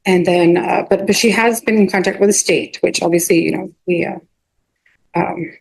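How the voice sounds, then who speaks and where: Halting woman attorney addressing a judge. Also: fairly low pitch, fairly even intonation, gravelly, breathy edge, low-quality recording. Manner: halting, repetitive, restarting phrases often. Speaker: defense attorney. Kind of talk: addressing a judge in a court hearing. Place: courtroom.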